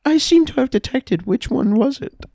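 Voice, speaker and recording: A man voicing a smug sci-fi villain who owns the city, with a mock-polite, drawn-out, taunting delivery in an improvised comedy. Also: very high voice, expressive, creaky, dark tone, dry recording, clean studio sound, pitched above the performer's own voice, full character voice.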